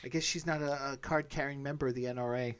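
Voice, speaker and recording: A man's voice: mid-range voice, quick pace, over loud music. A middle-aged man hosting a podcast in a casual, rambling discussion style. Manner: animated, rambling. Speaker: podcast host.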